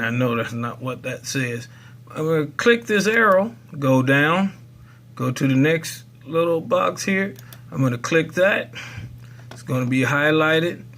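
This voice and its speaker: A man with a voice pitched a little higher than average talks through a how-to in a casual, unscripted way. His delivery is folksy and rambling, as if he is thinking aloud while he explains each step. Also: fairly bright tone. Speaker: presenter of a software tutorial.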